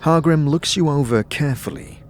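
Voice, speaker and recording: A man's voice: a little high, normal speed, a little mellow. A man reading scripted narration for a fantasy audio drama. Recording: echoey hall, faint noise.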